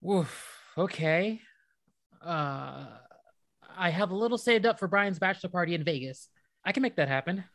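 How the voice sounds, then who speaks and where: A woman with an American accent playing a gentle, anxious young husband in a modern radio play, casual and conciliatory. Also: lowish voice, expressive, moderate pace, no echo, clean studio sound. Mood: accommodating.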